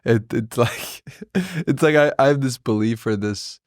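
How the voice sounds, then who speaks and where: A man's voice: mid-range voice, animated, a little slow, no room sound, clean studio sound. A man in a casual spiritual conversation, talking thoughtfully and affirming, with easy laughter. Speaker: podcast guest.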